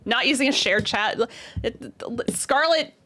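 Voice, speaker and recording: A woman's voice: high voice, measured pace, pushed and bright. A woman hosting a casual livestream, chatty and jokey, acting out conversations as she tells stories. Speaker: livestreamer, host.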